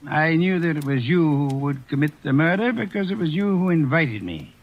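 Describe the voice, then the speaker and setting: An actor in a 1940s radio drama playing a calm, superior male detective who lectures in measured, dryly amused tones. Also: on the high side. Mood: explaining. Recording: dull old radio transcription.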